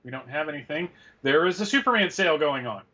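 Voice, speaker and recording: A man's voice: on the high side, animated, quick pace, sharp tone. A man co-hosting a fan show, chatting casually about news on dull, lo-fi audio. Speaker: co-host.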